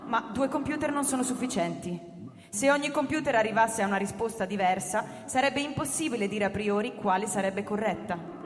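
An actress playing a formal radio bulletin announcer, explaining in a measured, didactic, official way. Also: female voice, on the high side, lightly acted. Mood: formal, explanatory. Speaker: radio newsreader in a drama. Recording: reverberant hall, music over the voice. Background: clear music.

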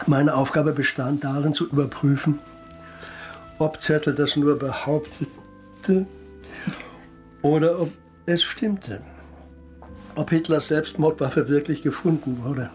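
A man's voice: a little high, steady tone, slow pace, long pauses, darkish tone. A matter-of-fact male investigator in a radio crime play. He explains soberly and precisely, with faint music underneath. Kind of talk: radio drama dialogue.

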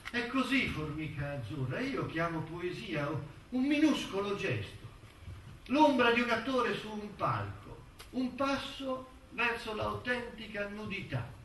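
A man acting an anguished poet, reciting dramatic verse in a slow, declamatory, impassioned way. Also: high voice, a bit bright, full character voice. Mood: earnest. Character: anguished male poet reciting a farewell to a beloved. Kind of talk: theatrical dramatic poetry recitation. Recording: distant echoey stage with faint noise.

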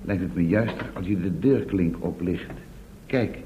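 A man's voice: deep voice, measured pace. An actor playing a pedantic, precise companion in a Dutch radio play, formal and condescending as he corrects others. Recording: dull old radio recording, faint noise.

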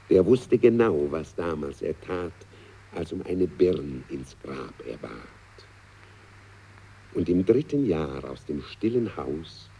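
Deep, gravelly man reciting a ballad theatrically. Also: mellow, poor audio. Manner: dramatic, savouring the verse.

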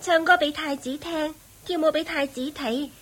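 Radio drama bit part: a dutiful young servant girl at court, high-pitched, Hong Kong Cantonese accent, obedient. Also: normal speed, a bit bright. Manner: deferential. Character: obedient, eager young palace maid entertainer.

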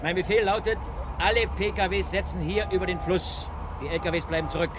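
A man plays an unyielding sergeant in an old radio thriller, barking orders. His voice is high and very fast, clipped with urgency, over noisy ambience. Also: close to an everyday voice. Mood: urgent, firm. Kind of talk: German radio crime drama acting.

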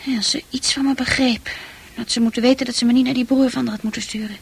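A woman playing a troubled, unsure teenage girl in a radio play, speaking intimately and hesitantly with a husky, breathy voice that often sinks to a near-whisper. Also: a little high, fairly even intonation, fast, full character voice. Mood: frustrated.

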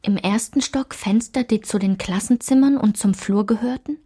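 A woman's voice: mid-range voice, moderate pace, warm tone, slightly rough. A woman reading scripted literary narration as the narrator of a radio play. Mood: measured. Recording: music behind the voice.

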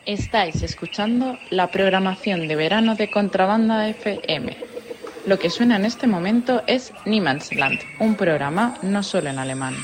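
A woman delivers a radio station announcement in a mid-pitched voice. There is clear noise behind her. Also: normal speed.

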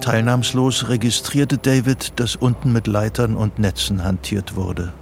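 An actor narrating a psychological thriller radio play as a sober storyteller. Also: male voice, fairly low pitch, little pitch movement, measured pace, creaky, soft background music. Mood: sober, suspenseful. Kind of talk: scripted literary narration.

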